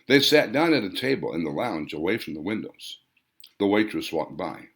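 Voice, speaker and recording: A man's voice: deep voice, big pitch swings, moderate pace, a bit bright. A man reading his own fiction as a dramatic audiobook narration, with emphatic, theatrical phrasing. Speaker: author reading own novel.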